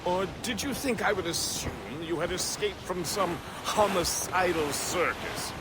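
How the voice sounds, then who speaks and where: An actor playing a jovial, tipsy, flowery-tongued gentleman companion in a video game. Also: sits high, unhurried, very noisy. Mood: amused, chatty. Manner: animated, flowery.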